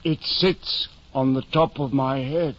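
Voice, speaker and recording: An actor playing a timid, plain-spoken squire in an old radio drama, doubtful but obedient, with a hesitant, deferential way of talking. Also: male voice, mid-range voice, fairly even intonation, slow, natural voice. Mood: meek. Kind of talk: 1950 old time radio drama acting. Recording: muffled noisy 1950s radio recording.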